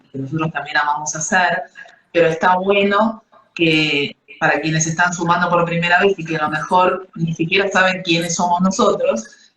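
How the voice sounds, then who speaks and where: A man's voice: a little high, bright, forceful tone. A man with an Argentine accent co-hosting, introducing the guest to listeners. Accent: Argentine Spanish. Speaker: co-host. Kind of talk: casual interview chat. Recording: echoey room.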